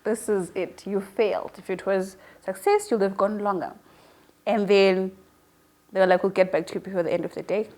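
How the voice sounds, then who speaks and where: A woman in her early twenties being interviewed. She talks in a casual, chatty style at a middling pitch, like someone telling stories about herself. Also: steady tone, moderate pace, darkish tone. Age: early 20s. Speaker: newly appointed online show presenter being interviewed.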